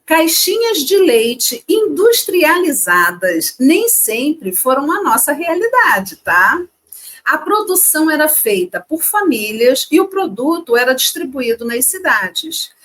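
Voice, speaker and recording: A woman from Rio de Janeiro co-hosting a casual chat, lively and chatty as she reads facts from her notes. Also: high-pitched, takes it slowly. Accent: Rio de Janeiro Portuguese. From Brazil. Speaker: co-host.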